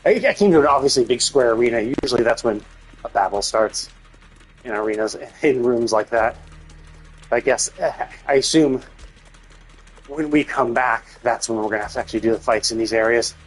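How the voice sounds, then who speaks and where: A man doing casual live game commentary, speculating and thinking out loud, with some casual swearing. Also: medium pitch, fairly quick, slight background noise. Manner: casually profane. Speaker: game livestreamer.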